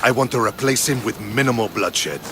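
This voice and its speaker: A man playing a principled, measured Spartan soldier in dramatic video game dialogue. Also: on the low side, pushed and bright, a little hiss or hum.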